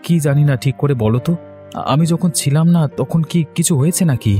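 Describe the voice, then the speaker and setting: A man's voice: a little high, brisk, warm tone, lightly acted. A man voicing an emotional, jobless village son-in-law in a cartoon story. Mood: agitated. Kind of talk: Bengali children's cartoon story dialogue. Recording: music playing under the voice.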